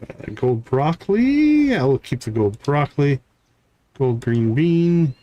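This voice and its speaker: A man's voice: on the high side, very animated, takes it slowly, dull audio, slight background noise. A man doing casual live game commentary, thinking aloud and talking himself through each task step by step. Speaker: live game streamer, host.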